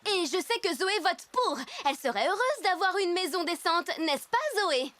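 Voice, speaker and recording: A woman's voice: very high voice, big pitch swings, normal speed, background noise. A woman voicing a greedy, haughty teenage girl who schemes to get rich, in a cartoon. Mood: scheming. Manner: haughty, scheming, gushing about wealth. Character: greedy bossy teenage girl, older sister. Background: background music.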